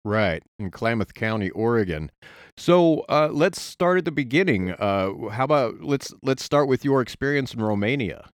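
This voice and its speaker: A man hosting an interview, speaking in a polite, measured way. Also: medium pitch, lively intonation, dry recording, studio quality. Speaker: podcast host.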